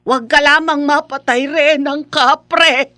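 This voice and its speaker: A woman's voice: high voice, fairly even intonation, slow pace, background noise. A woman playing a middle-aged, superstitious village mother in a radio horror drama, speaking emphatically and insistently. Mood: stern, alarmed. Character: grieving, stern, superstitious village mother of grown son.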